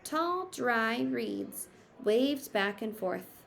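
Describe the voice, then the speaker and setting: Slow-paced woman reading a storybook aloud. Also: on the high side, vocal fry. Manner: expressive storytelling, explains simply to children. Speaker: teacher reading aloud to pupils. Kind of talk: picture book read-aloud with teaching asides.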